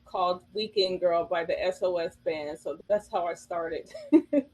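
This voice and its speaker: A woman's voice: on the low side, normal speed, darkish tone, low-quality recording. A woman singer from Illinois, answering interview questions in a hesitant way with many fillers. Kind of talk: casual interview chat.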